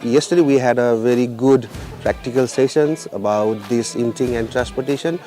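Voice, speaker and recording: Guy, warm mellow voice, just tells it plain, soft music. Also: medium pitch, moderate pace. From Nepal. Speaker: aid worker, trainee. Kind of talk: interview.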